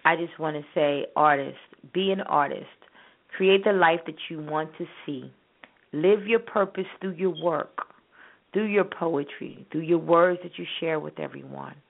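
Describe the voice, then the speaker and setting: A low-pitched woman talks on a radio show with earnest encouragement. Her voice comes through a narrow telephone band. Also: measured pace, creaky. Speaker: spoken word artist and producer, show regular. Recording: thin phone-line audio.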